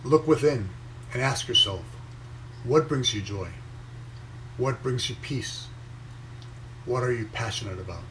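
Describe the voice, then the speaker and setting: Man, raised in Venezuela, young adult, motivational reading, slow measured phrasing, mid-pitched voice, faint background hiss. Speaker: inspirational speaker reading his own post. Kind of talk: reflective motivational reading and commentary.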